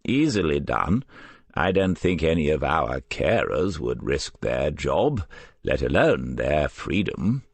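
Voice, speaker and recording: A man voicing a proud, clever elderly care home resident in an audiobook, speaking in a formal, measured, wry manner. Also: very deep voice, very animated, slightly rough, no echo, heavily acted. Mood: measured, knowing.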